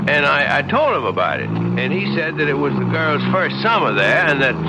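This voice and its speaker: A stammering folksy country deputy. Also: male voice, on the high side. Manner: stammering, rambling country talk. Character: bumbling folksy small-town male deputy. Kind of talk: 1970s radio drama acting. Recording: muffled old radio broadcast with hiss.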